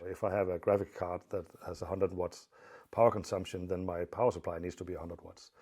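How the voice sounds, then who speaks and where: Deep, mellow-voiced man explaining technical matters. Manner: measured, explanatory, matter-of-fact. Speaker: audio expert presenter.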